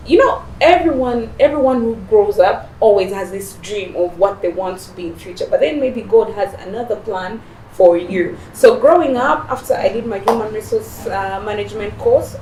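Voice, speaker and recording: A young woman from Rwanda, a guest in a casual interview, talking in a relaxed, rambling way with fillers. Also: medium pitch. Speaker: guest, beauty business owner. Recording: echoey, distant mic, noisy.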